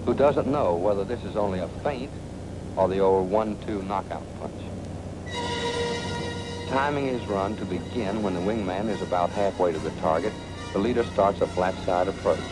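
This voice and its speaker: A man's voice: deep voice, normal speed, low-quality recording. An American man narrating a 1940s military training film in a punchy, colloquial, dramatic storytelling manner. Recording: 1940s film soundtrack with music.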